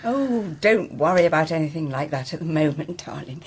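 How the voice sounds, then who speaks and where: A woman's voice: deep voice, animated, normal speed, slightly rough, exaggerated voice. An actress playing an anxious, self-sacrificing older mother in a naturalistic radio drama, genteel, hesitant and rueful. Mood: gently fussing.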